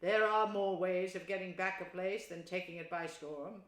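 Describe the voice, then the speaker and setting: A woman's voice: on the low side, fairly even intonation, normal speed, fairly bright tone, natural voice. A woman voicing a stern, wise old male badger with a thin, dry, severe voice. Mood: kindly firm. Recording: roomy echo.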